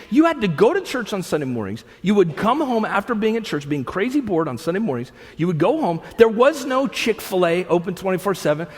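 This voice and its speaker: Husky-voiced pastor in a reverberant hall. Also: male voice, high voice. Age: 30s. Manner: animated, swinging from loud bursts to hushed, near-whispered lines; conversational with frequent restarts and asides. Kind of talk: sermon. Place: church hall with an audience. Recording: long reverb of a large hall.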